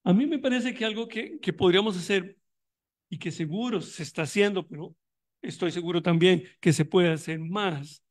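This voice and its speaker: Hombre costarricense de voz aguda, reflexivo. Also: male voice, sits high. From Costa Rica. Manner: thoughtful, many restarts and fillers, reflective. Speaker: panelist on migration in education; university educator running tutoring programs. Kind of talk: academic conference talk.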